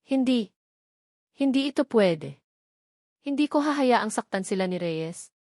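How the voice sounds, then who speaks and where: A woman's voice: on the high side, animated, measured pace, a little mellow, dry recording, studio quality. A Filipino woman narrator voicing an older mother and grandmother, protective, pleading and remorseful, in a dramatic story. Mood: anguished, pleading.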